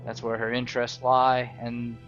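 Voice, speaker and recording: A man hosting a gaming video, improvising role-play narration in a halting, deliberate way. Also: medium pitch, completely flat delivery, lo-fi sound. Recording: loud new-age music behind the voice.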